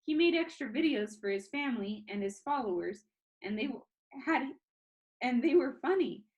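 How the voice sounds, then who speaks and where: A woman narrates a storybook like a teacher reading to students. Her voice sits a bit high and moves around a great deal. The delivery is expressive, with an informal, chatty touch. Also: moderate pace.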